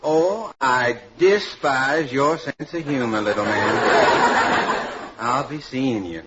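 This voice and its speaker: A man's voice: mid-range voice, slow, a loud crowd, lightly acted. Man playing disdainful drawling Texan handyman, muffled. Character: big-talking, disdainful Texan plumber handyman. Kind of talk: 1940s radio comedy acting. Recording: muffled, very noisy vintage radio.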